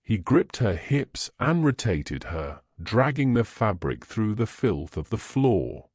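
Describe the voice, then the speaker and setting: A man narrates a fictional tale in a fairly low voice. His delivery rises and falls freely, and the sound is dry and close. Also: big pitch swings, takes it slowly, darkish tone, no echo. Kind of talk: scripted audio fiction narration.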